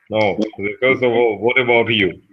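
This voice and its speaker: A man, a language learner chatting on an online call in halting English, stopping often to find his words. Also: lowish voice. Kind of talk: casual chat over an online call. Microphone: video call. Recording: tinny call line with faint noise.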